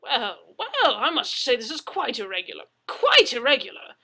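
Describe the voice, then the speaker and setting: A woman plays a hearty English gentleman from a storybook, loud and forceful. He blusters and bumbles, easily flustered and excitable. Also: a little high, normal speed, gravelly, voice pushed up, full character voice. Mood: blustering, hearty.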